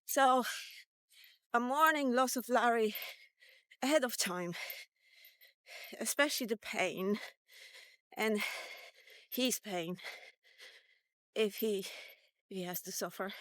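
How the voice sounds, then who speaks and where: A woman in her fifties talking candidly and reflectively in a casual, halting vlog monologue, with some swearing. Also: on the high side, a bit bright, no echo. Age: 50s. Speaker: vlogger.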